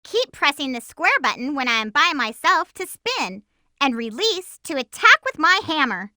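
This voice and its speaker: A woman voicing a perky, bold cartoon hedgehog girl hero in a video game, giving hints in a chirpy, clearly enunciated, eager way. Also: high voice, very animated, normal speed, sharp tone, dry recording, clean studio sound, heavily acted. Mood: upbeat, instructive. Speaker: playable character giving gameplay hints. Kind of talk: video game tutorial voice acting.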